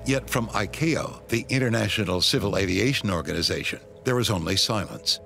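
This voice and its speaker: A man's voice: fairly low pitch, a little fast, creaky, sharp tone. A man reading scripted, dramatic narration as the narrator of a documentary. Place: voice-over booth. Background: background score music.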